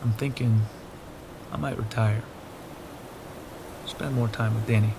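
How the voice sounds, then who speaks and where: A middle-aged man playing a gruff, troubled police detective and father in an audio drama, blunt and terse in his delivery. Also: on the low side, fairly even intonation, a little slow. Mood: subdued. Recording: noisy ambience under dialogue.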